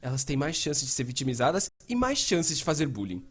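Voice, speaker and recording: A man's voice: medium pitch, brisk, husky. A Brazilian man dubbing a frank, casual psychotherapist who explains things in a conversational way and acts out bits of dialogue. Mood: mimicking, pointed. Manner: animated, acts out quoted dialogue, casual swearing. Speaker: fan dubber voicing a mental health educator. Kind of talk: dubbed conversational explainer talk.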